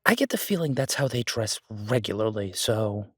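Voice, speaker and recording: A man playing a shy, devoted chef boyfriend in an intimate audio roleplay, speaking in a halting, self-deprecating, affectionate way. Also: on the low side, very animated, a bit bright, slightly rough, dry recording, clean studio sound, full character voice. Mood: wry, gossipy. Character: Beau, warm, shy, flustered male chef and devoted boyfriend.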